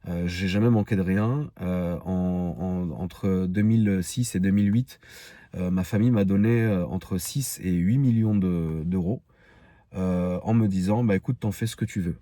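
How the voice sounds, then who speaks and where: Low-voiced Parisian man, close dry sound. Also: measured pace, mellow, no echo. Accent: Parisian French. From Paris, France. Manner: rambling, frank, slangy. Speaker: online video creator. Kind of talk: casual personal storytelling to followers.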